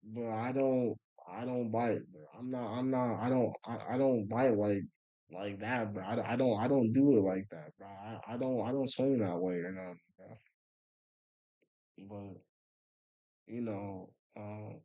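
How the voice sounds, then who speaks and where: A man's voice: on the low side, a little slow, mellow, low-quality recording. A young man telling a rambling story in a laid-back, casual way, filling it with "bro" and "you know" and often trailing off into a mumble. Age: young adult. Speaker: vlogger.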